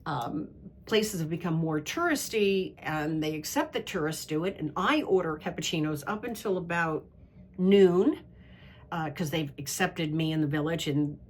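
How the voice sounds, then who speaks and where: A woman in middle age with a fairly low voice, speaking her mind in an interview. She talks candidly and drifts from one story to the next, firm in her opinions and casual in tone. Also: animated, moderate pace. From United States. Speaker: women's travel club host. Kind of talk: interview answer, conversational monologue.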